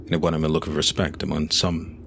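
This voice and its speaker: A man narrating a scripted fantasy audio drama in a measured, solemn way, over faint noise and ambience. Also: very deep voice, little pitch movement, gravelly, fairly bright tone.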